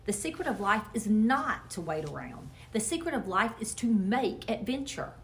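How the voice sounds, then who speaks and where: A woman's voice: mid-range voice, big pitch swings, normal speed, slight background noise. A woman voicing a mischievous young boy with big schemes in an emphatic, theatrical storybook manner. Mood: scheming, excited.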